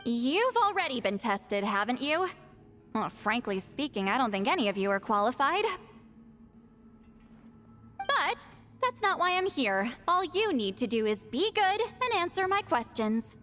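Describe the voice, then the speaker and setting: Haughty high-voiced shaman, animated. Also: measured pace, full character voice. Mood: blunt, imperious. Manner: brusque, condescending. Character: blunt, haughty, irritable female shaman granny with youthful voice. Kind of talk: video game voice acting. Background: background music.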